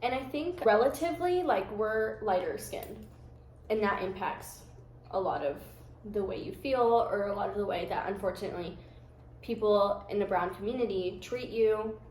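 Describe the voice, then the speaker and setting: A young American woman chatting casually, talking candidly and reflectively in a soft-spoken way. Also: medium pitch, normal speed, reverberant, a little hiss or hum, rough recording. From United States. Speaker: guest. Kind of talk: casual chat.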